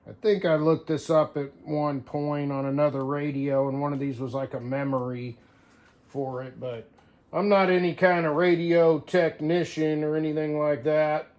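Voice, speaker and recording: A man's voice: a little high, fairly even intonation, normal speed, darkish tone. A man hosting a casual hands-on show-and-tell video, talking informally and rambling as he thinks aloud. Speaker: video host.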